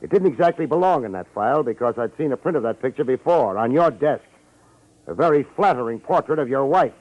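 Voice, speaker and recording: An American actor playing a wry, self-assured tough private detective in a 1950s radio drama, talking in confident slang. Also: male voice, mid-range voice, expressive, fairly quick, darkish tone, natural voice. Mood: sardonic, confident. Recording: dull vintage radio recording.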